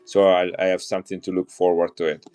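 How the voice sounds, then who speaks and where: A man's voice: low voice, completely flat delivery, fast talker, a little mellow, clear, resonant tone. A middle-aged man from Italy with an Italian accent, chatting enthusiastically to viewers as he shows and sells coins on a live stream. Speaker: coin seller on a live stream. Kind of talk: casual live-selling chat.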